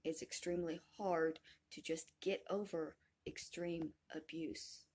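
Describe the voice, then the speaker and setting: A woman's voice: low voice, steady tone, measured pace, darkish tone, slightly rough. A woman in her thirties speaking earnestly to camera in a personal video, complaining. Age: 30s. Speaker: video creator.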